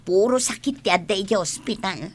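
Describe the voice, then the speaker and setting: A woman playing an excitable, fretful, scoffing female character in a radio comedy drama. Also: medium pitch, brisk, slightly rough, lightly acted.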